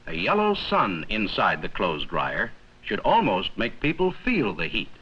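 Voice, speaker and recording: A man reading scripted 1950s educational film narration in a measured, explanatory manner with simple, plain sentences. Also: fairly low pitch, huge pitch swings, pushed and bright, husky, lo-fi sound. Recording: worn, noisy 1950s 16mm film soundtrack.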